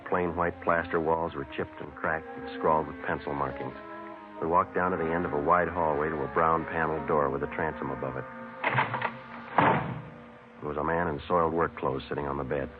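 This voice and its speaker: An actor playing a terse police detective in a 1950s radio crime drama, clipped and deadpan. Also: male voice, a bass voice, normal speed. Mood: matter-of-fact. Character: terse, businesslike police detective who narrates his case. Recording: muffled vintage radio recording, music behind.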